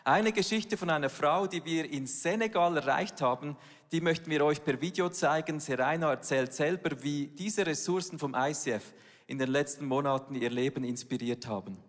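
Earnest church speaker in a reverberant hall. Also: female voice, low voice, normal speed. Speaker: church leader. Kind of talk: sermon-style church talk.

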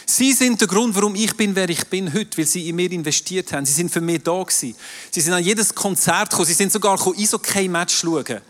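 A man's voice: high-pitched, quick pace, a bit bright. A man with a Swiss German accent, French being his first language, preaching an emphatic sermon to a live congregation in a large hall. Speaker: pastor. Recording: distant voice in a reverberant hall with crowd.

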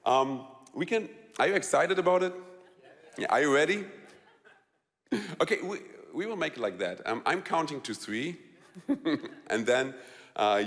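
A man's voice: mid-range voice, a bit bright. German-accented man, echoing hall. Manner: halting, repeats words, laughs often, quizzes the audience. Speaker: speaker telling a personal story. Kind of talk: live storytelling talk to an audience.

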